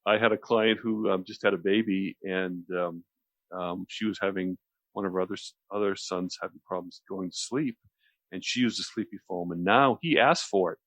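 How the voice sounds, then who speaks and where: A man with a deep voice explains a relaxation technique conversationally as a radio host, often circling back and repeating phrases. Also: fairly even intonation, normal speed, a bit bright, no echo, rough recording.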